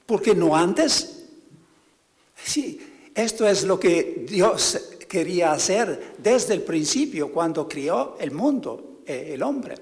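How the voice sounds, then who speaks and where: A man, a priest, giving a spiritual talk to fellow priests, halting and often stopping to search for words. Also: high voice, lively intonation, in a large hall.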